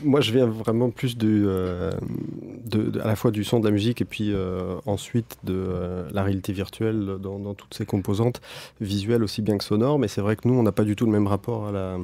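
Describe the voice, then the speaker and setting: Gravelly low-voiced man, thoughtful and halting. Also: steady tone, mellow, dry recording. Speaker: guest audio tech founder. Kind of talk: studio podcast interview.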